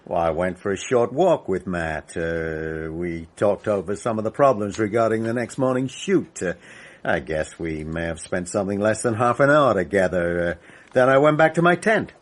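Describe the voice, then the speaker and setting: An actor playing a brash, anxious male movie-maker in a radio drama, talking bluntly and excitably. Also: on the low side, moderate pace, close to an everyday voice. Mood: uneasy. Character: brash, anxious male film producer-director.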